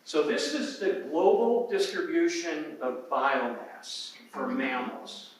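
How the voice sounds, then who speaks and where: An older man, an emeritus professor, giving an academic talk at a symposium with an unhurried, emphatic manner and some hesitations. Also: fairly high pitch, very animated. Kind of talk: academic presentation. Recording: very echoey hall, voice from far off the mic.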